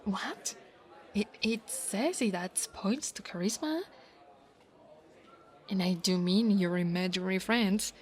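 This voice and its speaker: A woman's voice: mid-range voice, slow pace, long pauses, darkish tone, natural voice. A woman playing an insecure, mocking young female dragon bully in an ASMR roleplay, with a taunting, drawling delivery. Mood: smug, scornful. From Italy.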